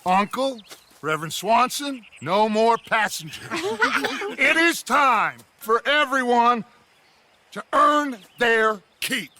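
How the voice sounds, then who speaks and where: Grandly theatrical outlaw gang leader. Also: male voice, extremely high pitch, sing-song, takes it slowly, pushed and bright, a little hiss or hum, exaggerated voice. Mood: rousing. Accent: American. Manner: theatrical, rhetorical, speechifying. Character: charismatic, commanding outlaw gang leader, middle-aged man. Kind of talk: dramatic video game acting, grand oratory.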